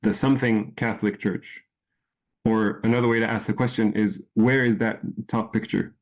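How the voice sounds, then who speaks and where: A man from France giving an informal talk to a small group, unhurried, with frequent ums and restarts. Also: on the low side, steady tone. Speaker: presenter. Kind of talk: informal presentation.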